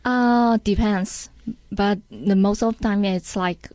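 Slow-paced Taiwanese-accented woman, interview guest. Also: mid-range voice, fairly even intonation, dark tone, no room sound. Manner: halting non-native English. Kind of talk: casual interview chat.

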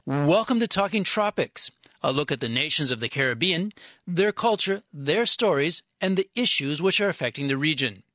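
A man hosting a radio show, reading a scripted introduction in a measured way. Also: on the high side, lively intonation, a bit bright, no echo, soft background music. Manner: measured, reads prepared lines.

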